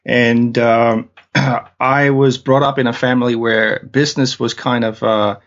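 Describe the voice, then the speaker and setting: A man's voice: mid-range voice, little pitch movement, a little slow. A man from Denmark with a Danish accent, telling his story as a guest in an interview, hesitant with many fillers and restarts. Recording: dull lo-fi audio.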